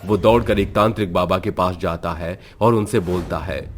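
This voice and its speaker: A man's voice: low voice, normal speed, slight background noise. A man narrating a scripted horror story as a storyteller.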